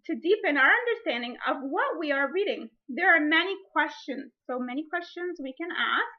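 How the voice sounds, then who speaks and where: Woman, high voice, teacher modelling a read-aloud, deliberate delivery, wondering questions for children, dull lo-fi recording. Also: animated. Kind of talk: instructional read-aloud demonstration.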